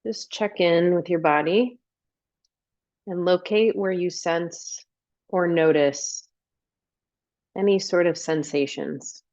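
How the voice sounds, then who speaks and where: A woman leading a guided meditation as a coach, giving gentle instructions and unhurried invitations with pauses. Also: fairly low pitch, no room sound, muffled recording.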